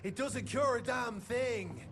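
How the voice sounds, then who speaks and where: An actor playing a shifty, cowardly, foul-mouthed vampire informant in a video game, with a jittery, stammering delivery. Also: male voice, very high voice, slow pace, background noise. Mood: alarmed, warning. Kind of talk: video game cinematic dialogue.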